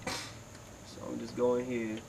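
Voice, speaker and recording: A man getting over a cold, sniffling and clearing his throat as he explains a how-to job outdoors in a laid-back, chatty way. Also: medium pitch, completely flat delivery, slow pace. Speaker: DIY video maker. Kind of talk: casual how-to tutorial. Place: outdoors beside a car in sunshine. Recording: outdoor ambient noise.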